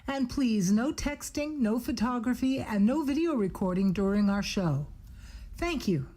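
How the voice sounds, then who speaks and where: A woman's voice: a little high, lively intonation, unhurried, mellow. A woman reading a scripted pre-show announcement as a theater announcer.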